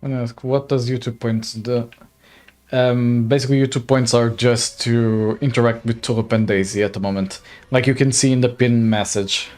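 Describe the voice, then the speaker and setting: A man's voice: mid-range voice, fairly even intonation, moderate pace, a little mellow. A young man from Slovakia streaming a game, chatting casually, laughing often and reading game text aloud. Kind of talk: casual gaming livestream chat. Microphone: desk mic.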